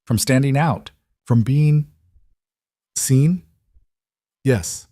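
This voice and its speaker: A guarded young woman, as a male narrator plays her, speaks in a close, dry sound. She is soft-spoken and hesitant, a quiet maid in a romance audiobook who keeps her past to herself. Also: medium pitch, very slow, no room sound, pitched above the performer's own voice.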